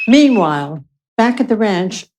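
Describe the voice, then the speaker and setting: A woman acting in a radio play, narrating and commenting in a wry, gentle manner. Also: medium pitch, very animated, measured pace, noisy background.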